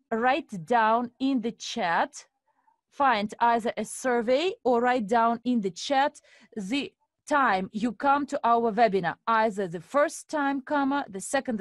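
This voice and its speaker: A woman's voice: on the high side, no room sound, studio quality. Woman hosting a webinar. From Estonia. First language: Russian. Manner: formal, addresses audience as dear friends. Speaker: webinar host. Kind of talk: live online webinar presentation.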